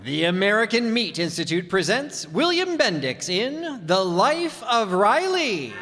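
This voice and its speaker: High-voiced man as a radio announcer, echoey hall. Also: sing-song, a little slow, fairly bright tone, full character voice. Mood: grand, sincere. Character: grand, earnest 1940s radio announcer and narrator. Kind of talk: scripted 1940s radio narration. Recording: echoey hall stage recording.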